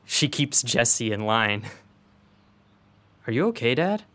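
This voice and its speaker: A man's voice: medium pitch, sing-song, slow pace, sharp tone, exaggerated voice. A young man acting a guarded son in a radio drama. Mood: concerned.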